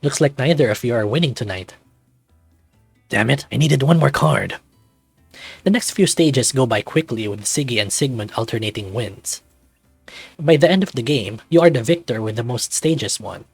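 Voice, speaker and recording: A man's voice: mid-range voice, lively intonation, a little fast, husky, no room sound. Male narrator for scripted visual novel story, dry intimate recording, music underneath.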